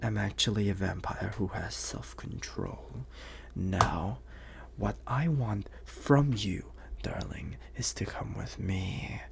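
Man acting slow, low, suave vampire hunter. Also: heavily acted. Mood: coaxing, explanatory. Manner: slow, teasing, breathy half-whispers with lingering pauses. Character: suave, menacing, flirtatious male vampire bounty hunter. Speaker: voice actor. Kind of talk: ASMR roleplay acting.

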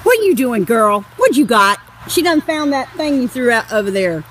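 A woman's voice: a little high, big pitch swings, moderate pace, fairly bright tone, a little hiss or hum, low-quality recording. A woman, chatting and giggling affectionately as she films a home video outdoors in a snowy yard on a handheld phone camera. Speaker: vlogger filming her husband. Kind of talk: casual home video narration.

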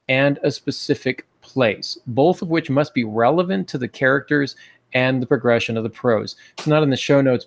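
Creaky-voiced American man talking casually. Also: mid-range voice. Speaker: podcast host. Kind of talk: casual instructional podcast talk. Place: small home office, indoors. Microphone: earbud headset on video call.

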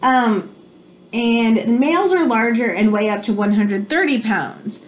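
An American woman in her thirties reading a children's nonfiction book aloud at home, pausing to explain things simply like a teacher. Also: a little high, lively intonation, slight background noise. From United States. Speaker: read-aloud host for children. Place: small room at home, indoors.

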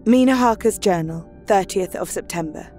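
A woman's voice: medium pitch, normal speed, a little mellow, soft background music, natural voice. An actress playing a polite, earnest young married Victorian woman, composed and formal as she keeps a journal in an audio drama.

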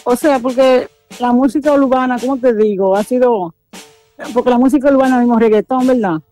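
A woman on a phone call, talking nervously and haltingly and repeating herself. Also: a little high, mellow, faint music behind. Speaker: prank call victim. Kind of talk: phone conversation. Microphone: telephone call.

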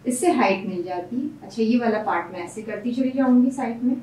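A woman hairstylist talks through every step of a hairstyle as she works on a client in a salon. Her voice is fairly high. She stands away from the mic in an echoey room, with a faint hum of room sound behind her. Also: lively intonation, a little fast, dark tone, recorded from a distance. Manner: explains each step while working. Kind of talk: hairstyling tutorial.

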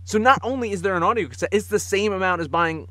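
A man's voice: high-pitched, quick pace, a bit bright, dry recording. Casual, chuckling man on a close mic. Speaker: podcast co-host. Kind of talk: casual comic chat.

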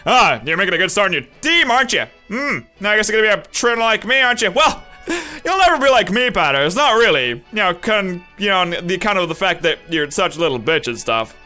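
A man voicing a gruff, scornful father who is a gym leader, drawling insults. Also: high-pitched, sing-song, fast talker, pushed and bright, faint music behind, full character voice. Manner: contemptuous, profane, drawling.

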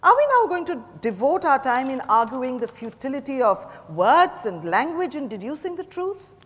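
A woman's voice: high voice, very animated, normal speed, a bit bright, slightly rough, heavily acted. A woman acting a proud, defiant businesswoman suspect in a theatrical dramatized stage reading. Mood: cool, evasive. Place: large hotel ballroom stage. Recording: echoey hall, picked up from the audience.

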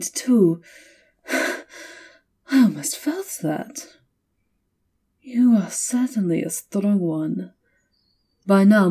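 A woman playing a seductive, menacing vampire countess in an ASMR roleplay, taunting in a breathy, theatrical purr that often drops toward a whisper. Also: mid-range voice, mellow. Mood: commanding.

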